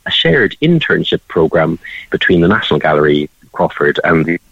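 A man talking in a measured, descriptive way as an art gallery guest in a radio interview. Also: low-pitched, sing-song, vocal fry, fairly bright tone, breathy edge. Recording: dull band-limited, roomy remote radio feed.

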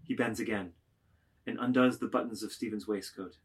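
Husky, low-voiced male narrator. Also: fairly even intonation, normal speed. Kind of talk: scripted literary narration, reading stage directions aloud.

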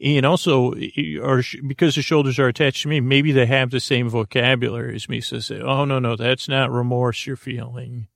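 A man hosting a sleep podcast, rambling in a halting, gently joking bedtime talk full of fillers and restarts. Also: medium pitch, flat delivery, vocal fry, no echo.

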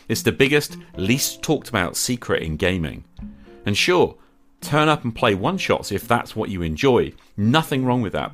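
Low-voiced man, animated podcast host. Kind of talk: scripted podcast monologue.

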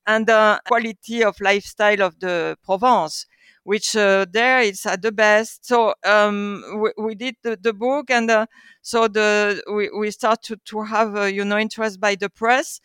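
A middle-aged woman with a French accent shares stories as an interview guest. Her voice is clear and bright, recorded very dry. She feels for her words, often repeating a phrase before moving on. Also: mid-range voice, steady tone, unhurried, dry recording. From France. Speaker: guest, publishing house co-founder. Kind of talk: conversational storytelling.

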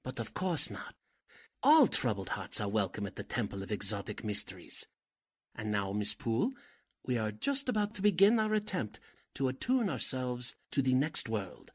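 An actor playing a pompous, oily cult leader who poses as a spirit medium, speaking in grand theatrical incantations in a radio drama style. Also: male voice, medium pitch, slightly rough. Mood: unctuous. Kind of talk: 1940s radio drama acting.

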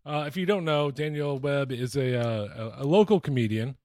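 A man of medium pitch speaking as a podcast co-host. He chats casually and jokes along in a conversational way, recorded close with no room sound. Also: normal speed, a little mellow, no room sound. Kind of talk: casual comic banter.

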